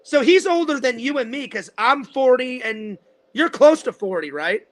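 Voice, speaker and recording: A man's voice: very high-pitched, animated, moderate pace. A man in his forties hosting a casual interview, rambling and restarting his sentences. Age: 40s. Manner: rambling, repeats words and restarts often. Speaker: host.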